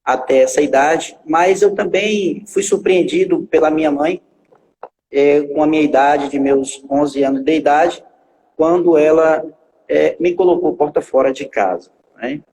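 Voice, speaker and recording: This is a man in his forties with a fairly high, gravelly voice, telling his own story in a halting way full of fillers and restarts. He sounds a little far from the microphone, in a space with a long reverb tail, and a faint hiss sits underneath. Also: a little mellow. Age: 40s. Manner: halting, with many filler pauses and repetitions. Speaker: guest pastor. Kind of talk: telling his life story in a live stream.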